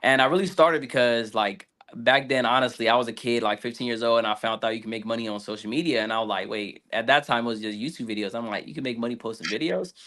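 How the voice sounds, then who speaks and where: A man's voice: mid-range voice, fast, few pauses, pushed and bright. A man in his twenties casually telling his own story as a content creator in an interview. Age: 20s. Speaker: guest, social media content creator.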